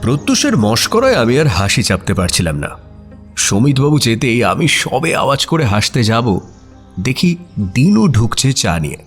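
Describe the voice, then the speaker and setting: A man's voice: mid-range voice, very animated, normal speed, husky, soft background music. A man playing the detective's curious, amused companion, narrating a scripted radio mystery story in a dramatic style.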